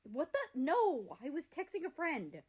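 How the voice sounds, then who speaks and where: Woman playing a flustered, high-voiced teenage boy. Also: wildly swooping pitch, unhurried, darkish tone, full character voice. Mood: defensive. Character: flustered, defensive teenage boy, dramatic and embarrassed.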